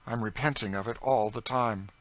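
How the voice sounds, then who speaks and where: A man reading a teasing, witty gentleman. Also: medium pitch, steady tone, normal speed, no room sound, voice pushed up, lightly acted. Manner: bantering, ironic, then impassioned. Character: lazy, witty, teasing gentleman, young adult. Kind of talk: audiobook character voice.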